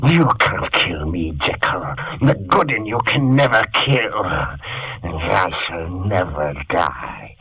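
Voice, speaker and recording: A man's voice: low voice, big pitch swings, slow pace, slightly rough, heavily acted. An actor playing a tormented Dr. Jekyll, an anguished man who pleads and argues with his darker self in 1940s radio style. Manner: anguished, pleading, shifting to a dark whisper.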